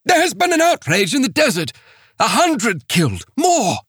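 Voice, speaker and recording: A desperate preacher, pitched well above the reader's own voice, cries out in breathless pleading, as a man acts him out. The storybook scene is read close and dry. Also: huge pitch swings, moderate pace, a bit bright, slightly rough, no room sound, heavily acted. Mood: frantic. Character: frantic desperate male missionary preacher.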